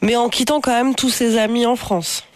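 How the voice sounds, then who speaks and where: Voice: medium pitch, fairly even intonation, moderate pace, dry recording. A radio host interviewing a guest, giving brief prompts and asking questions.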